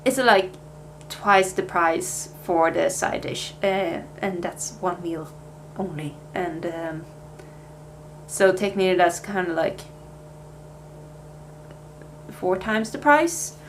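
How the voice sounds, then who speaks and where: A woman's voice: fairly low pitch, takes it slowly, long pauses, slight background noise. A young Swedish woman with a Swedish accent, chatting casually in a life update vlog, with plenty of fillers and restarts. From Sweden. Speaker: vlogger.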